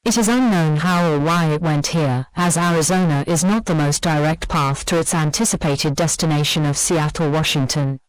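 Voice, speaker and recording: Woman playing an emergency broadcast announcer, low voice, dry sound, measured, impersonal, formal. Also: no room sound, lightly acted, rough recording. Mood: grave, official. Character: grave, official female emergency alert announcer.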